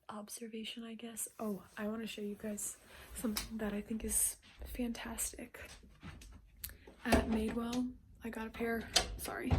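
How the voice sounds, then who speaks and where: A woman in her twenties talking casually and reflectively in a soft, breathy voice that often drops into a whisper, like a personal ASMR-style vlog. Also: on the high side, very noisy. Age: 20s. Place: bedroom.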